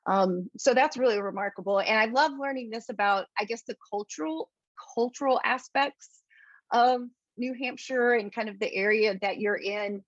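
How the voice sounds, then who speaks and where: A woman's voice: a little high, normal speed, no room sound. A woman hosting an interview, affirming and thoughtful as she responds to her guest, heard over a remote call. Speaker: interview host. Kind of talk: casual interview. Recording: dull dry lo-fi audio.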